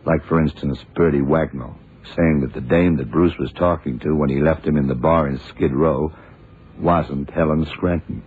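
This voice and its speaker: An actor playing a shrewd, dogged FBI investigator in a radio crime drama, terse and deliberate. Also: male voice, extremely low pitch, fairly even intonation, slightly rough. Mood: suspicious. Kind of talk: 1960s radio drama acting. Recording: muffled, noisy old radio broadcast recording.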